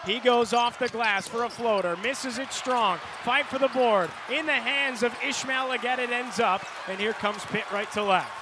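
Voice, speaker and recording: An American man doing live radio play-by-play of a basketball game in clipped, energetic phrases. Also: extremely high pitch, moderate pace, hardly any pauses. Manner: clipped phrases, builds to excited calls on big plays. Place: courtside in a large indoor arena. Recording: live arena broadcast, loud court noise behind. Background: loud arena noise, bouncing basketball.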